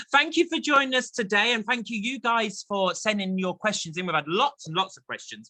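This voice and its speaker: A man's voice: on the high side, pushed and bright, no echo. A man hosting an online chat show in a chatty, playful, enthusiastic and jokey way.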